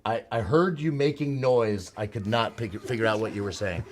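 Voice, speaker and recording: A man with a loud, booming voice hosts a casual live product show. He talks in a chatty, joking way, trading banter as he explains and demonstrates. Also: moderate pace. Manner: chatty, joking back and forth.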